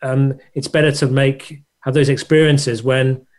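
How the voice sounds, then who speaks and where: A man's voice: medium pitch, level tone, a little slow, a little mellow. A man hosting an interview, asking long questions with many restarts. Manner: rambling, hesitant questions with restarts. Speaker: podcast host.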